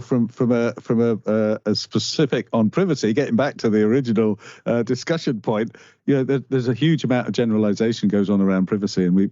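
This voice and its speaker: A middle-aged man with a Northern Irish accent, a guest in a conversational interview, speaking haltingly with many restarts. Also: fairly low pitch, mellow, no room sound. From Northern Ireland. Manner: halting, restarts often, earnest. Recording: dull lo-fi dry sound.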